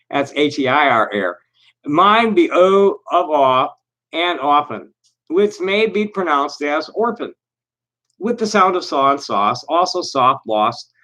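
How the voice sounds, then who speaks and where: A man's voice: on the high side, sharp tone. Rambling man hosting a livestream. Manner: halting and rambling, many restarts; reads aloud with deliberate slow pauses. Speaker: livestream host. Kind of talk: casual solo livestream chat.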